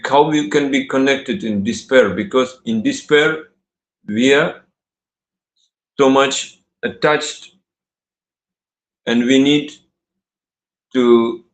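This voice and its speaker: A man from Russia with a Russian accent gives a devotional talk on scripture. He speaks haltingly, with many pauses and restarts, and laughs often. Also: medium pitch, roomy sound, poor audio.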